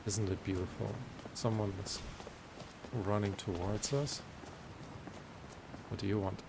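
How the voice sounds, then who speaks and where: A man giving casual let's play commentary, musing aloud in unhurried asides as he hosts a gaming video. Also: on the low side, little pitch movement, gravelly, noisy background.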